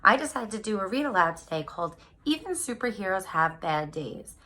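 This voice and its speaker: A woman with a very bright, forward voice at a medium pitch reads a picture book to young children. She addresses her listeners directly and leans on key words, stretching them out with animated storyteller expression. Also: a little fast. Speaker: read-aloud storyteller for children.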